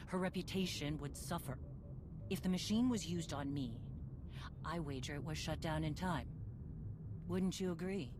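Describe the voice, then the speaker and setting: A proud Mandalorian warrior queen, acted by a woman for a video game, with a deep female voice. She is terse, resolute and used to command. Clear ambient noise sits behind her. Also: normal speed, pauses often, lightly acted. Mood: grim resolve. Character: proud, tough female Mandalorian warrior leader, wounded but defiant. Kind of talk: video game cutscene acting.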